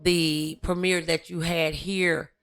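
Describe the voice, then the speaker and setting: A woman hosting a talk show, chatting in a familiar, colloquial and halting way with exclamations. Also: fairly low pitch, level tone, a bit bright, smooth, soft background music. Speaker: talk show host interviewing a guest. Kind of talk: casual, familiar interview chat.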